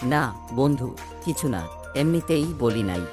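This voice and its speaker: A man's voice: mid-range voice, lively intonation, measured pace, close to an everyday voice. A man as a bluffing cartoon boy, music behind. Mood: bragging, evasive. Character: boastful young boy who bluffs to his friends. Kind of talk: Bengali cartoon dialogue.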